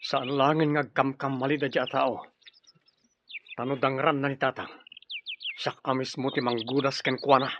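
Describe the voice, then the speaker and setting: A man's voice: medium pitch, flat delivery, normal speed, long pauses, background noise, close to an everyday voice. An actor playing a resolute, loyal young tribesman, earnest and reassuring, with an Ilocano accent, in a radio drama. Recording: muffled radio drama with loud birdsong. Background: birdsong effects.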